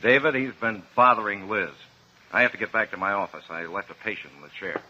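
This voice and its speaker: An actor playing a hot-tempered, protective dentist in a 1950s radio drama, urgent and indignant. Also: male voice, fairly low pitch, fast talker, sharp tone. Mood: anxious. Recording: noisy, muffled 1950s radio recording.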